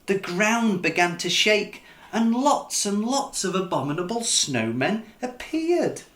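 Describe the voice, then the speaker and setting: A man narrating a children's picture book in an expressive storytelling style, as the author reading aloud. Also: high voice, slow, echoey room.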